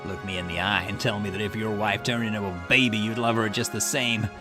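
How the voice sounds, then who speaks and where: A ruthless, grief-obsessed man from a game, read aloud by a man in a low voice that snarls and flares into outbursts. Game music plays clearly underneath. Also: steady tone, fairly quick, close to an everyday voice. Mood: bitter. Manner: snarling, defensive, rising to outbursts. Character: bitter grieving middle-aged man, obsessive and ruthless. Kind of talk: reading game dialogue aloud.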